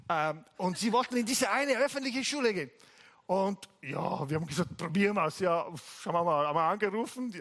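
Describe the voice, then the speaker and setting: A male pastor from Italy preaching in German with an Italian accent, telling a story in a lively way and jumping much higher in pitch when he quotes people. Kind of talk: sermon with anecdote. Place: church service.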